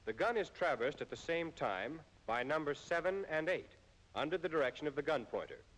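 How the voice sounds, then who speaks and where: An American man reading scripted instructional narration in a formal, measured way, as in an old military training film. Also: a little high, lively intonation, fairly bright tone, low-quality recording.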